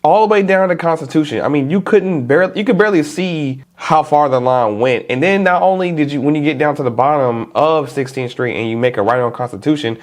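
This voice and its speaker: Stuffy-nosed man chatting to camera. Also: a little high. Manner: relaxed, chatty, informal slang. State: stuffy nose. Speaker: vlogger. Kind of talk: casual talk to camera.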